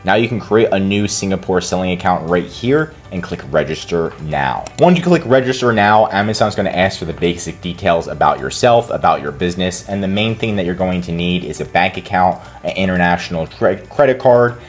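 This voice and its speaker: A man's voice: low-pitched, expressive, fairly quick, few pauses, faint music behind. A man hosting a tutorial and giving casual step-by-step instructions as a consultant. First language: English. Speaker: consultant and channel host. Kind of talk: screen-recorded tutorial walkthrough.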